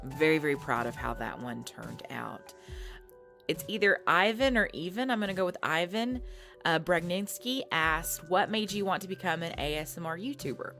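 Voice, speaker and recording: A woman's voice: fairly low pitch, moderate pace, vocal fry, fairly bright tone. A woman hosting a casual subscriber Q&A for her audio channel, reading out fan questions and answering in a chatty, self-conscious way with many fillers. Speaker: ASMR audio creator hosting a Q&A. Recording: faint background music.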